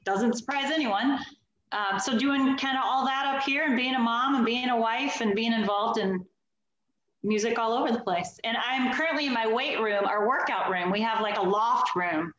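A woman's voice: a little high, normal speed, fairly bright tone, heavy reverb, dull audio, a little hiss or hum. A woman in her forties, originally from Alabama, chatting upbeat as a guest over a video call from her home loft. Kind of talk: casual video call interview.

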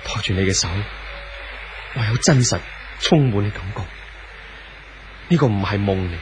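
An actor playing an earnest, brooding young lover in a 1970s radio drama, solemn and poetic, with a Hong Kong Cantonese accent. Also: male voice, on the low side, normal speed, background noise, natural voice. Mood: tender, hopeful. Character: earnest young romantic man, idealistic and brooding.

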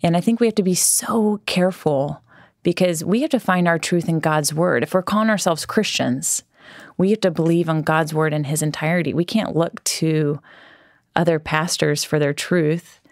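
A woman's voice: deep voice, normal speed, no room sound, clean studio sound. A woman hosting a faith podcast, talking through her views in an earnest, conversational way with fillers and restarts. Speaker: podcast host.

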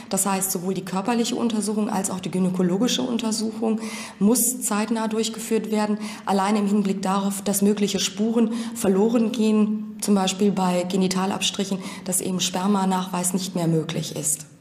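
A woman's voice: medium pitch, fairly even intonation, normal speed, darkish tone. A woman speaking as a forensic medical expert, explaining in a clinical, formal manner with long technical sentences. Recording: roomy, echoing voice.

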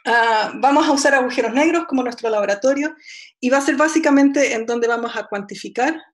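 A woman's voice: high-pitched, fairly quick. A young woman with a Chilean accent giving an online physics lecture over a video call, chatty and self-deprecating, with many fillers and restarts. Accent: Chilean Spanish. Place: home room, indoors. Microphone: laptop webcam video call.

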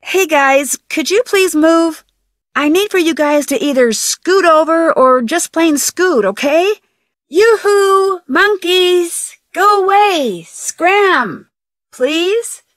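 A woman playing an upbeat, curious young female detective in a video game, youthful, perky and earnest, with clear diction. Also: extremely high pitch, animated, slow, dry recording, studio quality, full character voice. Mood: pleading, exasperated. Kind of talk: scripted video game voice acting, thinking aloud.

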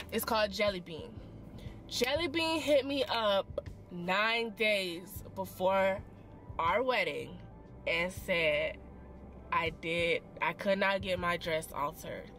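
A young woman vlogger telling a story in a parked car, expressive and dramatic, full of slang and quick asides, filmed by a camera on the dashboard. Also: medium pitch. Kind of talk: animated storytime chat.

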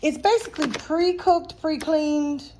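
A woman's voice: sits high, a little slow, dark tone, a little hiss or hum. A woman vlogging a grocery haul in her home kitchen, chatty and casual, filming on a handheld phone. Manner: chatty, lots of "you guys" and "um". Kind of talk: casual chat to camera.

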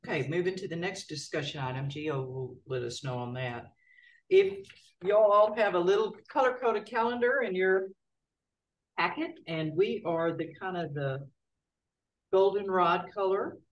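A board chair running a city meeting in a folksy, relaxed way, with many filler words. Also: mid-range voice, moderate pace, highs cut off. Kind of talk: formal meeting procedure mixed with casual remarks. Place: city council chamber. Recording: distant mic in a meeting room.

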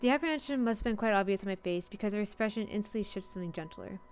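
A woman's voice: medium pitch, animated, very fast, darkish tone. A woman streamer reading a visual novel's story aloud indoors, with casual asides. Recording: music behind the voice.

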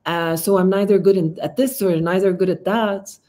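A woman's voice: mid-range voice, steady tone, moderate pace, mellow. A woman in her thirties with an Arabic accent, a guest on a video call, talking reflectively and often searching for words. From Palestinian, based in Amman. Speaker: interview guest, artist. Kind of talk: casual conversation. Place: home room, video call. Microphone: laptop mic on video call.

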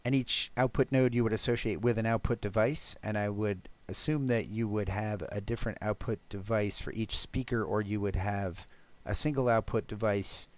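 A man presenting a technical programming demo, explaining step by step in a halting, thinking-aloud way. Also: fairly low pitch, steady tone, no echo. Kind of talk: technical talk with live code demo.

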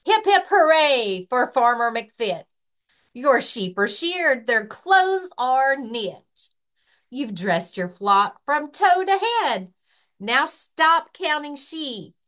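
Expressive woman reading slowly to children. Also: high voice. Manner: expressive, rhythmic reading of rhyming verse. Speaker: librarian and story-time reader. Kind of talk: children's picture-book read-aloud.

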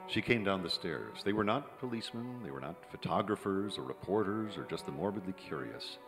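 Deep-voiced, cynical noir narrator. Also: male voice, lively intonation, brisk, music in the background. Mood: somber. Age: middle-aged. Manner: dramatic, wry. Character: cynical, wry dead screenwriter narrating his own murder. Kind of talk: 1950s radio noir narration. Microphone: vintage-style stand microphone on stage. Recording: live theatre, long hall reverb, audience.

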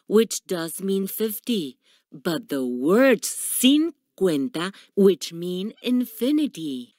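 A woman voicing a loving, calm old grandfather in a children's storybook, with a gentle, patient manner. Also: medium pitch, no echo, studio quality.

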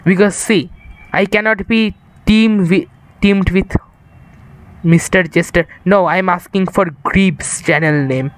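Slow-talking young man streaming a game. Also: high voice. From India. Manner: rambling, chatty, addresses viewers. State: tired. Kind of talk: casual live-stream chat while gaming. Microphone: improvised setup.

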